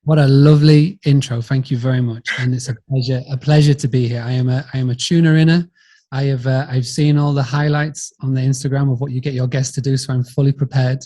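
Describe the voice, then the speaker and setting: A middle-aged Yorkshireman speaks as a podcast guest, with an ordinary mid-pitched voice. He tells stories conversationally, wandering from point to point with a bit of self-mockery. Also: fairly even intonation, fast talker, warm tone. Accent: Yorkshire English. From Yorkshire, England. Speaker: podcast guest, business founder. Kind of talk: conversational storytelling in an interview.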